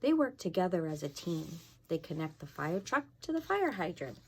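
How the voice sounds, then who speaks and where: A woman's voice: lowish voice, sing-song, moderate pace, a little mellow, slightly rough. A woman fire inspector reading a storybook aloud to children, expressively, with casual asides and questions to her young listeners.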